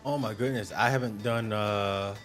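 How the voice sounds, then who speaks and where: A young man streaming a game, chatting casually with viewers in a smooth, upbeat, joking way. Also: fairly low pitch, steady tone, normal speed, fairly bright tone, soft background music. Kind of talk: casual livestream chat.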